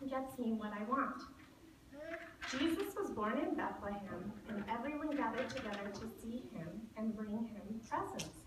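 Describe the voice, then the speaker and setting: A woman acts out a rich, self-important puppet lady with a fairly high voice. She declaims boastfully for a stage show. The sound is distant and echoey, with clear background noise. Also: natural voice. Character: vain, boastful rich woman who loves expensive gifts. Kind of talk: church puppet show acting. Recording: echoey hall, far mic, noisy.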